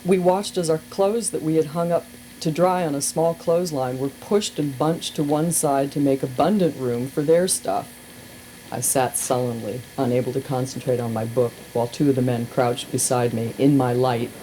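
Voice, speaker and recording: A woman reading a humorous memoir aloud in an expressive, wry, deliberate storytelling style, recorded on an amateur cassette. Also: extremely low pitch, poor audio. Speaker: radio personality reading aloud. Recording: hissy amateur cassette tape, faint noise.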